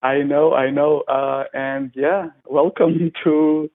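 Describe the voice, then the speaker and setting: A man's voice: fairly high pitch, steady tone, takes it slowly. A man, a guest talking casually over a call, hesitant and often restarting as he searches for words. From North Macedonia. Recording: tinny phone-like call line.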